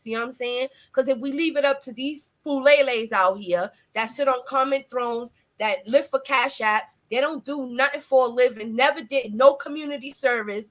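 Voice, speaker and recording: A woman with a very high, sharp and bright voice. She rants bluntly and fiercely, full of slang, as a guest on a live stream chat. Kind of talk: casual ranting chat.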